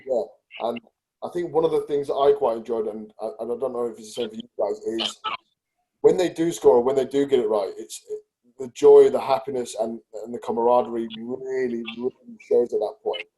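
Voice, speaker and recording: A man's voice: mid-range voice, brisk, mellow, low-quality recording. A man hosting a podcast, asking engaged, open questions of his guests. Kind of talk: interviewing.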